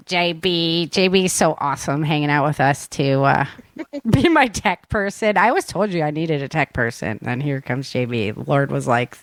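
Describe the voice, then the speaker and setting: A woman host with a fairly low voice talks in casual conversation. The recording is close and dry, with very little room around her. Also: a bit bright, no room sound. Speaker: show host and author. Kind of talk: casual chat.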